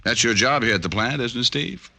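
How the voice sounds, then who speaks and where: An American actor playing a tough, wry, stubborn private detective in a 1950s-style radio drama, with needling delivery. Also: male voice, mid-range voice, fairly quick, creaky, sharp tone, full character voice. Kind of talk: 1950s radio detective acting with first-person narration. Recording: old radio recording with hiss, clicks.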